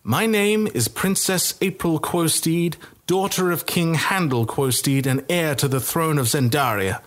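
A man's voice: on the high side, a little slow, a bit bright, pitched above the performer's own voice. A man voicing a haughty young princess with an imperious manner.

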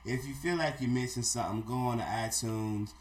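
A man with a raspy voice co-hosting a podcast, talking loosely in slang. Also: mid-range voice, fairly quick, crowd noise. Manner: loose, slangy, gives shout-outs. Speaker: podcast co-host. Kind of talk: casual sports talk.

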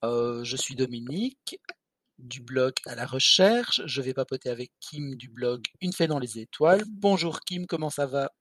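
A man's voice: mid-range voice, animated, normal speed, a bit bright, no echo. A man co-hosting a casual podcast, explaining things in a chatty, slightly irreverent way. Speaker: podcast co-host.

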